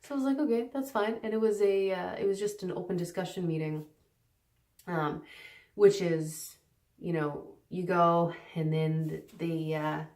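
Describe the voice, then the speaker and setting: A woman with a low voice talks loosely to camera from her stairs at home, full of ums and restarts and at times hushed. The sound is roomy and echoey, with the mic set back from her. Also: a little slow. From Canada. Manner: rambling, many fillers and restarts, partly hushed. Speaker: recovery vlogger. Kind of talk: casual unscripted talk to camera. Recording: echoey, mic some distance away.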